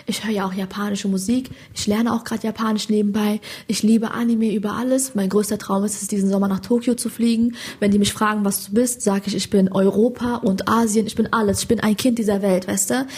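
A young woman from Hesse with a Hessian accent, a stand-up comedian chatting in an interview, animated and slangy, often asking "you know?". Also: mid-range voice, fairly quick, hardly any pauses, husky. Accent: Hessian German. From Hesse, Germany. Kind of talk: casual interview chat.

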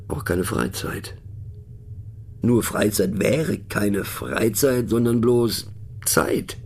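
Casting: male narrator for satirical audio drama. Deepish, creaky, rough-edged voice; pensive, mocking, conversational. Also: darkish tone. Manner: wry, pensive, mocking, halting emphatic delivery. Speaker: narrator of a satirical radio play. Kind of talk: scripted monologue performed as musing conversational talk.

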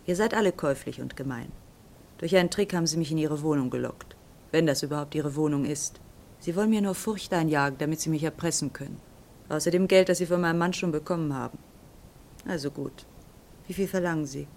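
An actress playing a suspicious, defiant wife with a haughty manner in a 1970s radio drama. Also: female voice, brisk, creaky, darkish tone. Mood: guarded. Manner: accusing, haughty. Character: suspicious, defiant wife confronting a private detective. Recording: rumbly, faintly noisy 1970s radio recording.

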